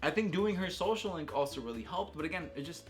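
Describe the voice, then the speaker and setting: Male video host, slightly high voice, excitable slangy delivery, off-the-cuff gaming commentary over music. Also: fast. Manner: enthusiastic, slangy, with emphatic exclamations. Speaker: YouTube host reviewing a video game. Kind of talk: casual, unscripted video game commentary.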